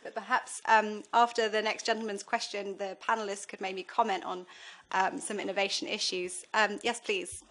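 A woman moderating a conference panel, politely and briskly keeping time at a desk microphone. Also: mid-range voice, level tone, fairly bright tone. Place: large conference room.

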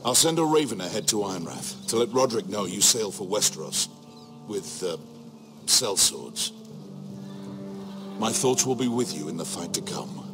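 Low, expressive, fatherly nobleman played by a man. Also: over music, natural voice. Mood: solemn, affectionate. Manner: solemn, sincere, measured. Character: earnest, proud, fatherly middle-aged noble uncle. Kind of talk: dramatic fantasy game acting.

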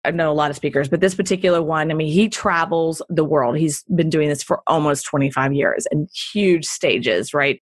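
A woman's voice: sits low, normal speed, few pauses, no room sound, studio quality. A woman in her forties from Texas with a neutral accent, telling her story in a casual interview and laughing often. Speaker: guest entrepreneur.

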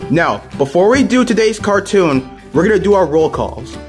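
Man voicing a bossy cartoon rabbit host, lively pitch. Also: normal speed, faint music behind. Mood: announcing. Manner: chatty, directing the others. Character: bossy male cartoon rabbit playing master of ceremonies. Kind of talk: amateur cartoon character skit.

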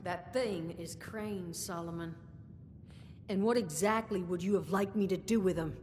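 A woman playing a weary but firm and compassionate hideout boss in a video game, speaking conversationally with hesitant pauses. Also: lowish voice, a little mellow, natural voice. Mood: firm, defensive. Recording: reverberant room, background noise.

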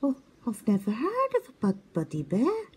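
A woman voicing a small, kind and muddled young male owl who asks eager questions in a much higher voice than her own. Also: normal speed, husky, heavily acted. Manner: excited high-pitched questions. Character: small kind curious scatterbrained boy owl.

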